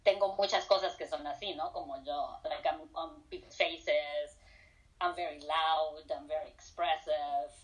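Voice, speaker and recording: A woman's voice: low voice, fairly even intonation, measured pace, rough recording. A middle-aged woman from Colombia, a professor being interviewed, switching between Spanish and English with frequent fillers. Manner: hesitant, many fillers and restarts. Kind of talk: casual interview answers.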